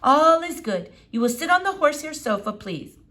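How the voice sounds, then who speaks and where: Woman voicing a commanding, high-pitched, eccentric lady doctor. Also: sing-song, normal speed, bright, forceful tone, heavily acted. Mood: brisk, insistent. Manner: formal, commanding, stilted phrasing. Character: brisk, bossy woman doctor, wistful and eccentric host. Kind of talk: children's novel read-aloud character voice.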